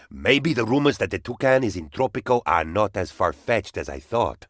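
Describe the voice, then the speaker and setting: Pompous, melodramatic, husky-voiced game henchman. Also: deep voice, a bit bright, dry recording, studio quality, exaggerated voice. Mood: excited, self-satisfied. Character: pompous, lovesick, paranoid male presidential aide, bumbling and self-important. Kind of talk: theatrical video game character voice lines.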